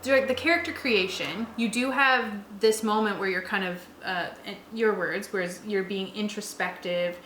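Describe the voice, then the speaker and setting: A woman with a bright, forward voice at a middling pitch chats casually as a review co-host. The room around her rings with noticeable echo. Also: moderate pace, hardly any pauses. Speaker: co-host of a tabletop game review. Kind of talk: casual chat. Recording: roomy echo, faint background noise.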